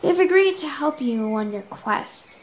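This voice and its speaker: A female performer playing a brisk, secretive noblewoman in a radio-style adventure serial. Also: high-pitched, animated. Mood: brisk, welcoming. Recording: video call with background music.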